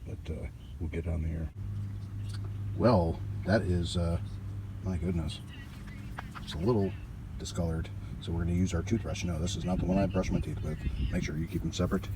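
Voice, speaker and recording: A man giving a casual hands-on repair walkthrough in a halting, folksy, offhand way, recorded outdoors on amateur gear. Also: low-pitched, fairly even intonation, noisy background. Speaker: host of a DIY radio repair channel. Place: outdoors beside a car.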